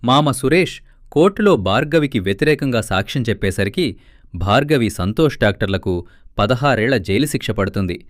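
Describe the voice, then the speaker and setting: A man narrating a scripted storybook tale in a steady way. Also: mid-range voice, moderate pace, no room sound, studio quality. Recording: faint background music.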